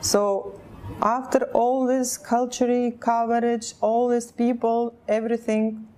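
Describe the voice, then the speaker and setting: Russian-accented woman, halting English. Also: on the high side, level tone, warm tone. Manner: halting, searching for words, broken English. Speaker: interviewee. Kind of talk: personal testimony in an interview.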